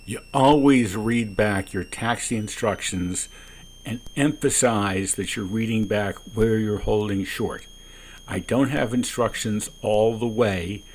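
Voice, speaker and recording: Male pilot narrator, deliberate and explanatory, low fry-edged delivery, small-plane cockpit with light noise. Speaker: private pilot narrating own flight. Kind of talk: calm explanatory cockpit commentary. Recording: noisy small-plane cockpit, radio transmissions.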